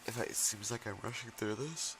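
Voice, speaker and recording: A man's voice: fairly low pitch, a little fast. A man giving casual let's play commentary on a video game in an offhand, mumbling way. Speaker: gaming video creator. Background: game music.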